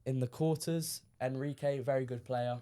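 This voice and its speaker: A teenage man from the UK, a guest on a podcast, talking casually with lots of ums, his voice a little croaky from shouting. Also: medium pitch, normal speed. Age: teens. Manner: relaxed, many ums, recounting matches. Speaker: guest player.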